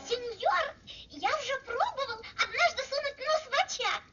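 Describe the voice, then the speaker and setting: A perky wooden boy from an old cartoon, impudent yet innocent. The voice is extremely high and piping, on a muffled film soundtrack with clear music underneath. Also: animated, moderate pace. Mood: pleading, naive. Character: cheeky, naive little wooden puppet boy. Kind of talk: Soviet cartoon acting.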